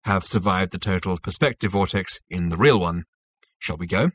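A man's voice: low-pitched, normal speed, fairly bright tone, slightly rough, no room sound. Smug male schemer, played by a man reading, businesslike and self-assured, little hesitations, dry studio sound.

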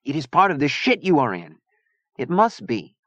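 Fierce young woman voiced by a man, pitched high. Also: big pitch swings, moderate pace, fairly bright tone, dry recording. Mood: insistent. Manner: combative, profane, imperious. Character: fierce, hot-tempered young Mexican woman gang leader. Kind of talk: audiobook character dialogue.

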